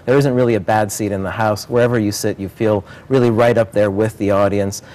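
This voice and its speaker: A man's voice: fairly low pitch, steady tone, fairly quick, a little mellow. A man speaking as a theater director in an interview, earnest and enthusiastic about his work. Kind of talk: promotional interview about a stage production.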